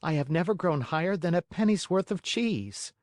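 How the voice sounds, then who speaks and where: A man voicing a whiny, eager marionette boy in a storybook, with a high childlike voice and pleading, dramatic delivery. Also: animated, normal speed, darkish tone, no room sound, clean studio sound, close to an everyday voice. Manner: pleading, emphatic, exclaiming. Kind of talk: audiobook character acting.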